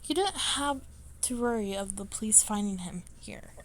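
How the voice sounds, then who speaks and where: A woman reading a story aloud as an amateur narrator, with a halting delivery that stumbles over words and goes back to reread them. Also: mid-range voice, animated. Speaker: amateur fan fiction reader.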